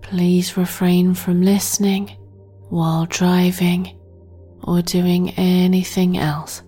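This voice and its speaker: A woman guiding a sleep meditation in a soft, breathy near-whisper, gently and with long pauses. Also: on the low side, flat delivery. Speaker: meditation guide and podcast host. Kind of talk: guided sleep meditation, soothing narration. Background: meditation music.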